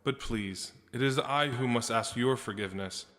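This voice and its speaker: A man's voice: mid-range voice, moderate pace, a bit bright, in a large hall, lightly acted. A man playing a wealthy, courteous Roman visitor in an audio drama, formal and grave. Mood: grave courtesy. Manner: formal, deferential.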